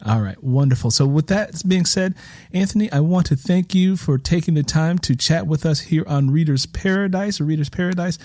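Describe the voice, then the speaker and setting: A man's voice: a little high, normal speed, a little mellow, no echo. Reflective male interview host, dry close sound. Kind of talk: conversational interview.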